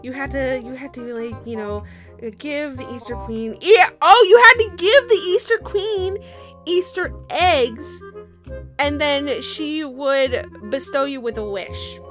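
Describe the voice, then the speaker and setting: A woman voicing a bossy, excitable, scatterbrained young wizard student in an improvised game roleplay. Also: sits high, takes it slowly, soft background music. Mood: thinking aloud.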